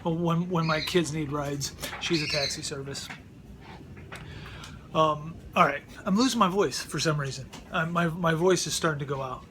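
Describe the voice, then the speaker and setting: A man's voice: fairly high pitch, moderate pace, noisy background. A middle-aged man talking casually at home for his vlog, rambling and often repeating words as he restarts sentences. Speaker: vlogger. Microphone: close mic.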